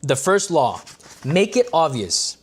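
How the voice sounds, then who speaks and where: A man's voice: fairly high pitch, sing-song, slow pace, slightly rough. A man reading a self-help book aloud on a livestream, with stumbles and restarts and casual asides to his viewers.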